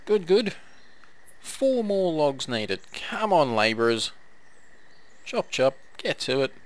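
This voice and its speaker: Hoarse man narrating his game. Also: a little high, very animated, slow. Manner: chatty, talks to the game's villagers. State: hoarse, coughing, losing his voice. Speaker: gameplay commentator. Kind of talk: casual let's-play commentary.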